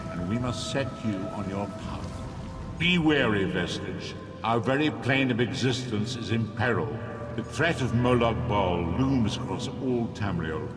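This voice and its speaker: A man's voice: medium pitch, lightly acted. An actor playing a solemn elderly male prophet in a fantasy game, speaking with formal, portentous, archaic phrasing. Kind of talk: fantasy video game acting. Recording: loud music behind the voice. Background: loud music.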